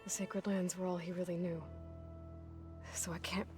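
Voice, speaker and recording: An actress playing a guarded, determined young warrior heroine in a video game, reserved and matter-of-fact. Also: female voice, fairly low pitch, little pitch movement, normal speed, natural voice. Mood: subdued, reflective. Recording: music under the voice.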